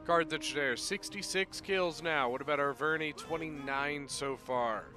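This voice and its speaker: A man casting a strategy game battle play-by-play in a chatty, excitable way, his voice a little off as he gets over being sick. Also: a little high, unhurried, over music. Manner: chatty, excitable, bursts of loud exclamation. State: voice a little off from recent illness. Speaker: game commentator.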